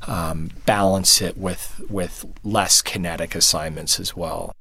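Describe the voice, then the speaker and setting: A man being interviewed as a photojournalist, answering thoughtfully and haltingly, with many restarts and fillers. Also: low-pitched, fairly even intonation, bright, forceful tone, dry recording. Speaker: photojournalist guest.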